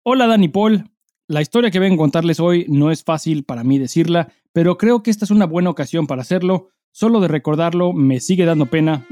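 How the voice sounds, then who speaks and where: A man's voice: on the high side, fairly quick, mellow, no echo, studio quality. A man with a Mexican accent co-hosting a casual podcast, talking in loose, sweary slang as he chats and reads listener mail. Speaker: podcast co-host.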